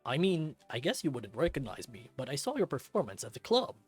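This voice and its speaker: A man's voice: mid-range voice, sing-song, fairly quick, breathy edge, faint music behind. A man voicing a friendly but easily flustered young bull bartender in a visual novel, talking in casual slang. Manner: casual, slangy, stammers when nervous. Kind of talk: visual novel character reading.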